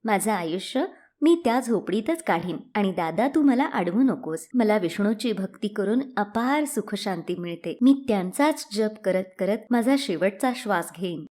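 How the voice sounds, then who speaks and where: A woman's voice: medium pitch, animated, moderate pace, warm tone, lightly acted. A woman voicing a pious, resolute young unmarried woman in a storybook tale, earnest and firm. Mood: calm, devout, firm. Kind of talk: storybook character acting.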